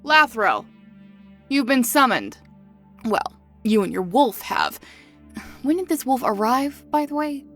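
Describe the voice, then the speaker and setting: A woman acting a lively elven clan leader. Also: high-pitched, slow, pauses often, faint music behind, full character voice. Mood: brisk, casual. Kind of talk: acted fantasy audio story.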